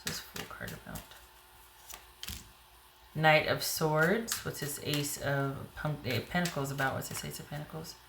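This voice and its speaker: Low-voiced woman doing a tarot reading, a bit hoarse, talks to herself over the cards, echoey room with faint hiss. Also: measured pace, long pauses. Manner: thinks aloud while pulling cards. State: slightly hoarse. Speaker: tarot reader. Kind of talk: casual tarot reading to camera.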